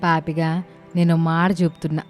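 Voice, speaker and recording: A woman's voice: low voice, little pitch movement, normal speed, a little mellow, faint music behind, close to an everyday voice. A woman playing a bossy, sensible older village aunt who talks in colloquial, scolding, speech. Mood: reproachful. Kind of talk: animated moral-story dialogue.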